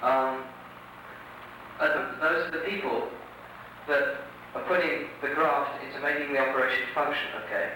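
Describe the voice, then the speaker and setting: A man's voice: mid-range voice, steady tone, moderate pace, pauses often, pushed and bright. A man explaining a point at length in a meeting, ending phrases with questions to the room. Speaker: conference delegate. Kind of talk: meeting argument. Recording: echoey hall from far off, noisy 1980 archive.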